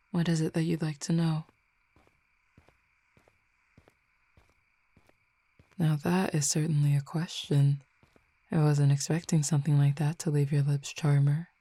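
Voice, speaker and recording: A woman voicing a composed, formal supernatural cupid roommate who speaks in precise, measured words and teases gently. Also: sits low, no echo. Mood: curious. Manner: precise, formal wording, measured and unhurried, soft asides. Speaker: voice actor in an audio roleplay. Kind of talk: audio roleplay addressed to the listener.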